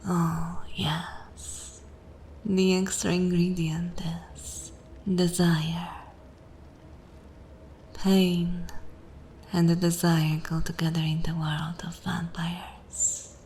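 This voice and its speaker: A woman playing a seductive, cruel and jaded vampire mistress in a dark fantasy ASMR roleplay, speaking breathily in slow, commanding, theatrical phrases. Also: fairly low pitch, level tone, slight background noise. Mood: hypnotic, cruel. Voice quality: breathy whisper.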